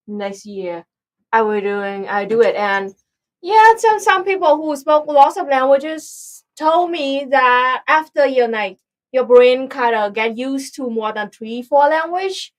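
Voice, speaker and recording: A young woman with a Vietnamese accent, chatting casually as the host of a livestream. Her voice sits a little high. She talks in halting bursts and stops often to find the right word. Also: expressive, low-quality recording. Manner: halting, searching for words.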